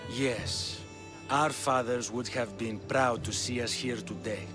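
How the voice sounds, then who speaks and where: A man's voice: mid-range voice, steady tone, slow, music in the background, natural voice. A man voicing a courtly, condescending, sly Spartan king in dramatic video game acting. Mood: courtly, wry. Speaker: actor voicing a game character.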